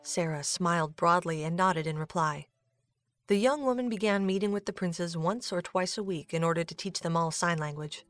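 A woman's voice: on the low side, moderate pace, no room sound, faint music behind. A woman reading scripted narration for an audio drama, as a storyteller.

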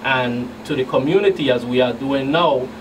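Halting middle-aged man, distant and noisy. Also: mid-range voice. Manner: halting, repeats words. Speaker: federal agent guest. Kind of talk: radio interview answers. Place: small radio studio. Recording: distant mic, clear room hum.

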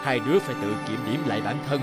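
A man's voice: medium pitch, expressive, racing, close to an everyday voice. A man voicing a stern elderly bear wizard in a cartoon fairy tale, lecturing like a caring teacher. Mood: scolding. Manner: admonishing, lecturing. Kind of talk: animated fairy-tale dubbing. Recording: music playing under the voice.

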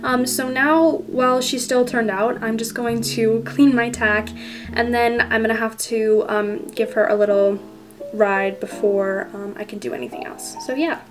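A chatty teenage girl narrates her day at the barn in a casual vlog voice, with plenty of "um"s and "you know"s. Her voice sits a little high, and clear music plays underneath the voice-over. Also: fairly even intonation, unhurried. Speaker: toy horse vlog creator voicing a rider.

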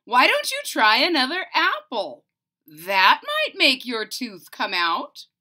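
A woman voicing a fussing, eager-to-help mother in a children's storybook, with emphatic, drawn-out cooing words. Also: high voice, wildly swooping pitch, bright, forceful tone, exaggerated voice. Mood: apologetic.

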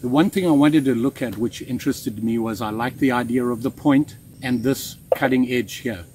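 A man in his sixties giving a relaxed, chatty hands-on knife review outdoors in the woods, with plenty of fillers and restarts. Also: mid-range voice, normal speed, slight background noise. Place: outdoors in woods, kneeling in the undergrowth.

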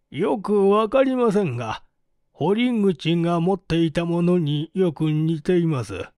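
A man's voice: sits high, darkish tone, dry recording, studio quality. A man reading the part of a dignified old village elder in an audiobook, answering questioning formally and firmly. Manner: formal, measured, firm.

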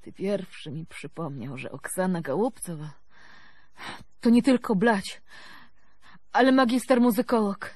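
Gushing Russian-accented mystic woman, slow and husky. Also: medium pitch, no echo, studio quality. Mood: hushed, wistful admiration. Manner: effusive, breathy exclamations, broken foreign grammar. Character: theatrical, gushing Eastern European woman musicologist, seductive, mystical. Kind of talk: radio drama acting.

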